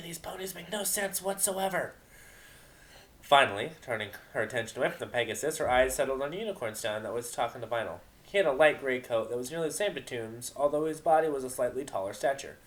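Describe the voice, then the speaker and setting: A man reading a story aloud as narrator, in a dramatic narration style. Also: mid-range voice. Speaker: narrator of a fan fiction reading.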